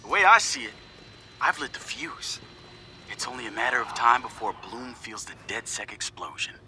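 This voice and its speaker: An actor playing a confident young hacker activist, recording a casual, slangy, wry audio log for a video game. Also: male voice, medium pitch, lively intonation, moderate pace, background noise, lightly acted. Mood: determined. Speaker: hacker group leader narrating audio logs.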